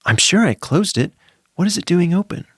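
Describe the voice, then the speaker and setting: A man's voice: high-pitched, big pitch swings, moderate pace, a bit bright, breathy edge, no room sound, clean studio sound, voice pushed up, exaggerated voice. A man voicing a frightened eight-year-old boy who earnestly reassures himself as he tries to be brave in a children's story. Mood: puzzled, uneasy.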